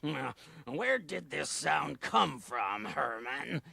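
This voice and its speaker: A grumpy, sleepy storybook raccoon, as a woman puts him on, drawling and grumbling his complaints. the voice is pitched far below her own, with a gravelly, creaky grain. it still rings bright. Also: sing-song, slow, no room sound, full character voice. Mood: puzzled. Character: grumpy sleepy male raccoon who naps by day.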